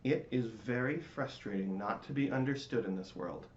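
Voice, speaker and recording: A man giving a dramatic, theatrical reading of a children's storybook in measured phrases. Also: medium pitch, reverberant, highs cut off, slight background noise.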